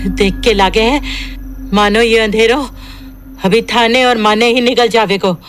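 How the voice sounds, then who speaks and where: A woman playing a terrified rural mother with a Rajasthani accent in a horror audio drama, speaking haltingly and fearfully. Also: fairly high pitch, fairly even intonation, music in the background, lightly acted. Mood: anxious, scolding. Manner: halting, fearful, repeating words. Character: terrified rural mother guarding her child.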